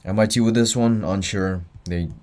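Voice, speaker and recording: A man's voice: on the low side, steady tone, normal speed, darkish tone, a little hiss or hum. A tired young man running a livestreamed exam review, talking offhand and answering chat between explanations. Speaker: student tutor.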